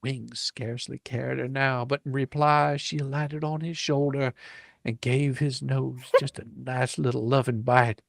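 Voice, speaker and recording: A man's voice: medium pitch, little pitch movement, a little slow, gravelly, no room sound, studio quality. A man reading a storybook dramatically, with theatrical delivery and playful, folksy, ungrammatical embellishments. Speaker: reader, storyteller.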